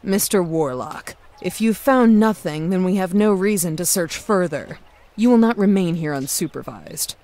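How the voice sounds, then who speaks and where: Woman putting on a strict formal game overseer, lowish dark voice, bossy. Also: animated, moderate pace. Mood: stern, commanding. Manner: stern, formal. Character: stern, formal supervisor scolding a warlock.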